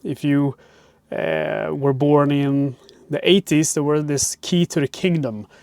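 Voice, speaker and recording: A man's voice: fairly high pitch, fairly even intonation, slow, gravelly, darkish tone, clean tone, dry recording. Swedish-accented man chatting in a dry RV. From Sweden. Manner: chatty, rambling with frequent "uh" fillers. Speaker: board game channel host. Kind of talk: casual unboxing chat. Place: cramped RV parked by a harbor. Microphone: wireless clip-on microphone.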